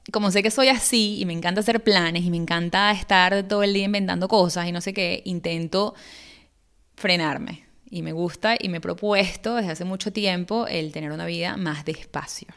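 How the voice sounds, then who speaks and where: A Venezuelan woman in her thirties talks alone into the mic as a podcast host. Her voice sits a little low and carries a gravelly fry. She chats along loosely, thinking aloud and restarting small phrases. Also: fairly bright tone. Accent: Venezuelan Spanish. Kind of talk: casual solo podcast monologue.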